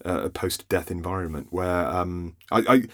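A lower-voiced man chatting as a writer on a podcast. He rambles and restarts often as he talks. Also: fairly even intonation, normal speed. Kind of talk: casual podcast chat.

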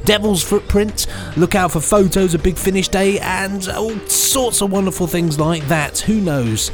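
British male co-host, fairly high voice, playful tongue-in-cheek banter, music clearly behind. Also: unhurried. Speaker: podcast co-host. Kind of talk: casual podcast banter.

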